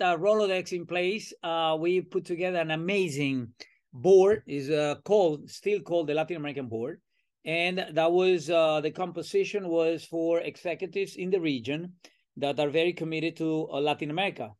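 An older Venezuelan man speaks as an interview guest, with a voice that sits a bit high for a man. He tells his story in an animated, chatty way, slipping into the voices of people he remembers and filling pauses with "uh" and "um.". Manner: animated, acts out remembered dialogue, many fillers. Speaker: professor and podcast guest.

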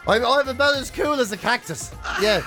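Irish guy, high voice, casual funny podcast banter, self-mocking, bit of music under it. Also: animated, moderate pace, bright, forceful tone. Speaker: podcast co-host. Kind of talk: casual comic chat.

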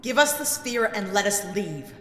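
Woman as an animated, wary space adventurer. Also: medium pitch, moderate pace, slight background noise, lightly acted. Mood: defiant. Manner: urgent, animated, guarded. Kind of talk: sci-fi audio drama acting. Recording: echoing room, loud music.

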